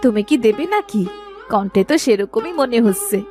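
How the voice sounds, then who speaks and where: A woman's voice: on the high side, animated, moderate pace, a little mellow, faint music behind, close to an everyday voice. A woman voicing a guarded, evasive village sister-in-law in a Bengali cartoon story. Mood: friendly, surprised.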